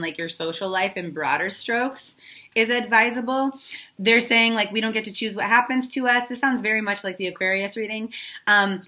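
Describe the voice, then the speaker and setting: A woman in her thirties, slightly hoarse from losing her voice, giving a casual, chatty tarot reading straight to viewers. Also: mid-range voice, pushed and bright. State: recovering from a lost voice, slightly hoarse. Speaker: tarot reader and video host.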